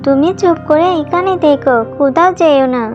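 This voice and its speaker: A woman voicing a poor, gentle working mother with a pleading manner in a children's cartoon. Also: sits high, normal speed, lightly acted. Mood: tender, worried. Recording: dull lo-fi audio, music behind. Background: background music.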